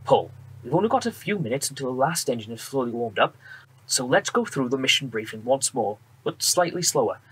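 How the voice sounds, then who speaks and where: An actor playing a friendly, assured wartime bomber pilot with a British accent, brisk and reassuring, in a radio drama. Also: male voice, mid-range voice, big pitch swings, a bit bright, breathy edge, full character voice. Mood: brisk, businesslike. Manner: brisk, reassuring, polite officer. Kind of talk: period radio drama acting. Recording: noisy aircraft engine background.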